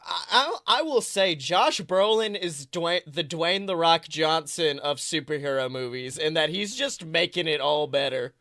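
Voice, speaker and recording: A podcast co-host chatting casually about films in an animated, emphatic way with plenty of swearing. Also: medium pitch, a little slow, hardly any pauses, no room sound, clean studio sound. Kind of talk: casual film discussion podcast chat.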